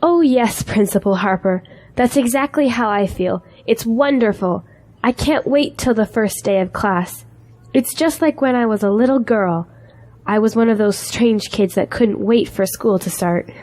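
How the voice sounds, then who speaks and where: A woman's voice: a little high, measured pace, darkish tone, poor audio. A woman playing an eager, idealistic young schoolteacher in an audio drama, with an earnest, polite way of talking. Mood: excited, delighted.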